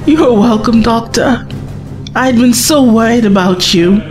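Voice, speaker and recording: A woman's voice: on the high side, a little slow, darkish tone, soft background music, lightly acted. A woman playing an anxious, devoted young companion in an audio drama, speaking in an earnest, hesitant way. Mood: tentative, relieved.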